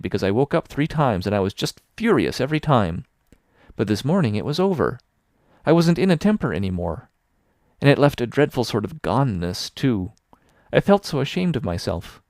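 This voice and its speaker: A man's voice: medium pitch, a little mellow, no echo, studio quality, voice pushed up. A man voicing a dramatic, imaginative orphan girl of about eleven who pleads in a theatrical, gushing way. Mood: earnest.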